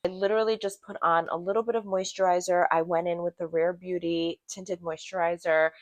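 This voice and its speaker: A woman's voice: on the low side, level tone, brisk, dry recording. A woman from Brazil giving a casual product review to camera in a chatty, emphatic way, repeating words for emphasis. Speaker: beauty product reviewer and vlogger.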